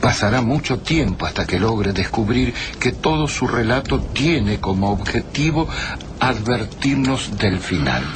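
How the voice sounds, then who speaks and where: A man voices a thoughtful first-person storyteller in a radio drama, speaking slowly and in a brooding way. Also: mid-range voice, expressive, close to an everyday voice. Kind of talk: reflective scripted narration. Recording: noisy recording.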